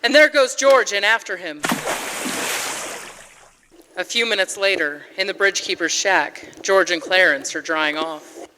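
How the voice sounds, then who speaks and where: A woman's voice: medium pitch, measured pace, long pauses, close to an everyday voice. A woman as a solemn narrator, reading scripted 1940s-style radio drama narration. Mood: dramatic. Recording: live stage with clear background noise.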